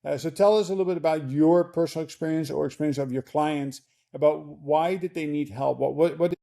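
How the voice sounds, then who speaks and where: Gravelly, dark-voiced male podcast host. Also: fairly high pitch, fairly quick. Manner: rambling, many fillers and restarts. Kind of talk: casual interview talk.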